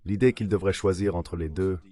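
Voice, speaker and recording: A man reading a former diplomat's interview answers as a translated voice-over, in a measured, scripted way. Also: sits low, steady tone, mellow.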